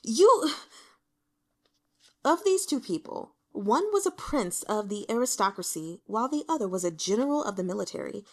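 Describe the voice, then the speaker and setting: A woman reading a fantasy novel aloud as dramatic, expressive narration. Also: mid-range voice. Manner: dramatic, storytelling. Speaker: audiobook reader.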